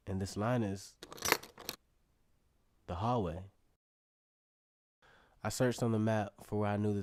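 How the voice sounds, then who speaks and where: Low-voiced eager young student. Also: male voice, noisy background. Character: eager young male student performer, narrating his own story. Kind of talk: scripted first-person radio drama narration.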